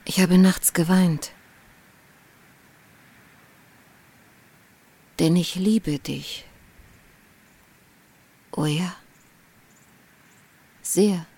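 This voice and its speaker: A seductive queen in a radio drama. She speaks slowly in a lowish voice, languid and coaxing, playful yet used to command. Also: mellow, breathy edge, full character voice. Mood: yearning, seductive. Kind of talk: literary radio drama acting.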